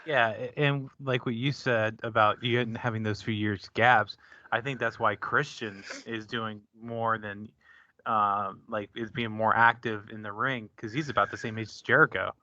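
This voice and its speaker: A man's voice: lowish voice, fairly even intonation, unhurried, pushed and bright, no room sound. A man hosting a podcast in casual chat, easygoing and agreeable. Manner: easygoing, agreeable, short backchannels. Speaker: podcast host. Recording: dull lo-fi podcast audio, dry.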